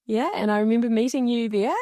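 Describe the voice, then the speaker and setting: A woman interpreting a signer's casual story aloud, in halting, conversational phrases. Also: a little high, warm tone, no echo, studio quality. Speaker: sign language interpreter voicing a signer.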